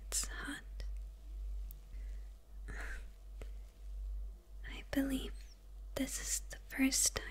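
A high, whispering supervillainess acted by a young woman, menacing and teasing yet clearly smitten. She murmurs very slowly and haltingly, close to the mic, as in an intimate ASMR roleplay. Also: exaggerated voice. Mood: coolly teasing. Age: young adult. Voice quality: breathy whisper. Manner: slow, hesitant, halting murmur with pauses. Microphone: close microphone.

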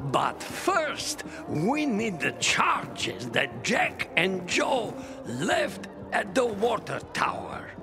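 An actor playing a proud, absent-minded old inventor in a video game, theatrical and often groping for words. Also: male voice, sits high, wildly swooping pitch, drawn-out words, gravelly, hardly any pauses, over music, exaggerated voice. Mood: eager, scatterbrained. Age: older. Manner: hesitant searching for words, theatrical outbursts. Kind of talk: video game character acting.

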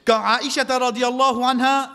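Male preacher, Mauritian Creole accent, high-pitched, impassioned and exhortative, stand mic in a reverberant mosque. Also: flat delivery, normal speed, pushed and bright, clean tone. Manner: impassioned, exhortative, repeating phrases for emphasis. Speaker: preacher giving a Friday khutbah. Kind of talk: sermon with Arabic quotations. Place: mosque, indoors at the pulpit. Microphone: microphone on a stand, close. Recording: echoey hall with long reverb.

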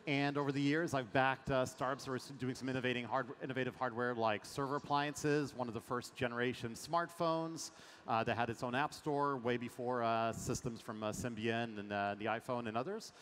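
A middle-aged man from France speaks as a guest investor on a conference stage. His pitch sits in the middle, and he talks with energy, full of fillers. His headset mic picks up the long reverb of a big hall and a faint murmur behind him. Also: fairly even intonation, fairly quick, fairly bright tone, a little hiss or hum. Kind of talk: panel fireside chat.

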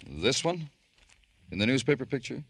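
An actor playing a terse, world-weary police detective in a radio crime drama, asking dry, clipped questions. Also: male voice, mid-range voice, sing-song, moderate pace, a bit bright, breathy edge, full character voice. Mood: musing, weary. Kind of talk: old-time radio crime drama acting. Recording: hissy old-time radio recording.

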